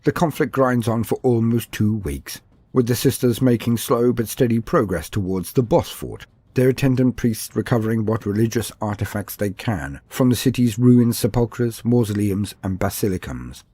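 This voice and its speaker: A man's voice: on the low side, warm tone, husky, dry recording. A man reading a scripted, dramatic narration of fantasy war lore as a storyteller.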